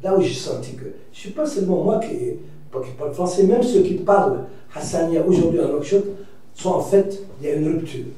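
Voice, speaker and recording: A Mauritanian writer speaking reflectively as a debate guest, pausing and restarting often. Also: male voice, fairly high pitch, expressive, warm tone. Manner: reflective, hesitant, many restarts and fillers. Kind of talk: debate discussion. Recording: echoey room from far off.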